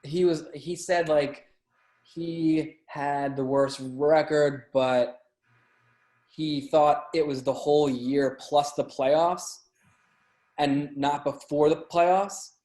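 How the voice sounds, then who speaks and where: A man chatting casually as a podcast guest, telling anecdotes in a halting way, often repeating and stumbling over words. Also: on the high side, steady tone, warm tone. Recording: roomy, echoey sound.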